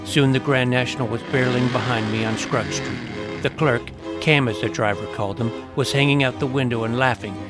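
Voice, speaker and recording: A man's voice: mid-range voice, fairly quick, lightly acted. Man voicing a wry boy detective narrator. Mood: suspenseful. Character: twelve-year-old boy detective narrating his adventure, wry. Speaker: narrator and lead. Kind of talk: scripted first-person story narration. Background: clear music.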